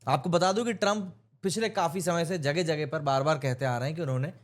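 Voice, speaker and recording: A man's voice: on the high side, a little fast. An Indian man giving an opinionated news explainer, speaking emphatically and mixing in English terms. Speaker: news commentator.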